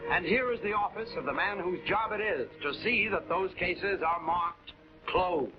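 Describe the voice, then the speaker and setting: A man's voice: high voice, normal speed. A man delivering dramatic scripted narration as the announcer of a 1940s television crime series. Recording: muffled 1940s soundtrack under music.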